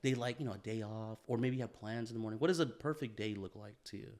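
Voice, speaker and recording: A man co-hosting a casual podcast chat, talking thoughtfully and filling pauses with "yeah" and "you know". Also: on the low side, a little mellow. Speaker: podcast co-host.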